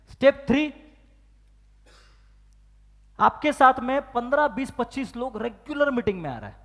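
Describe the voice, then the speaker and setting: A man giving a motivational business training talk, firing questions at the audience and acting out phone calls and excuses. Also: very high-pitched. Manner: asks the crowd questions and demands loud answers. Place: large hall with live audience. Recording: long hall reverb.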